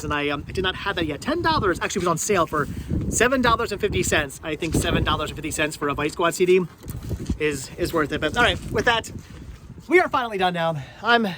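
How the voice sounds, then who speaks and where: Male vlog host, high-pitched, informal and chatty, loose rambling delivery with repeated phrases. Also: background noise. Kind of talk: casual chatty vlog to camera. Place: on location at a flea market.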